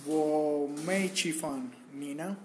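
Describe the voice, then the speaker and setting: A man's voice: a little high, takes it slowly, a little hiss or hum. A young man from Italy introducing himself in simple learner phrases, filmed on a phone held at arm's length. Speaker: language student. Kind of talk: casual self-introduction in learner Chinese.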